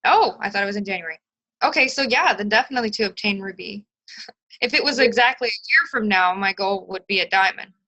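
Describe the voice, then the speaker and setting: A woman's voice: mid-range voice, fairly quick, bright, forceful tone, dry recording, muffled recording. A woman on a casual group video call, chatty and upbeat.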